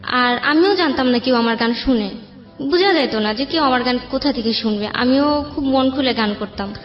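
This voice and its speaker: A woman's voice: high-pitched, moderate pace. Bangladeshi woman in an echoey room. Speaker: interview guest. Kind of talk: personal life storytelling, conversational. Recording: echoey room, dull sound, faint music.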